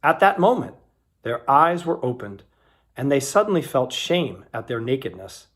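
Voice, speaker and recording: A man giving an expressive, scripted reading of scripture, dramatizing the quoted speech as he goes. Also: medium pitch, moderate pace. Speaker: scripture reader.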